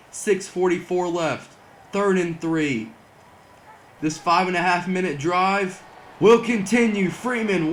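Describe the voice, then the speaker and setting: A young man doing excited mock broadcast commentary over a football video game, saying he is losing his voice. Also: sits high, a little hiss or hum. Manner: excitable play-by-play, rising to near-shouts on big plays. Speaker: gaming channel host doing play-by-play.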